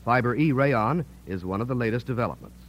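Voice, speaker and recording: A man's voice: mid-range voice, animated, fast. A man reading a scripted 1940s radio advertisement as a company spokesman in a polished, declarative sales delivery. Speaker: company spokesman, commercial announcer. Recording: dull, noisy old radio recording.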